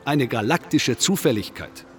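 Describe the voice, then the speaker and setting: An actor in a radio play playing a self-absorbed congress guest who philosophizes in a pompous, long-winded, declamatory way. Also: male voice, medium pitch, lively intonation, moderate pace, faint music behind, natural voice. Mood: grandly pondering. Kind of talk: German radio play acting.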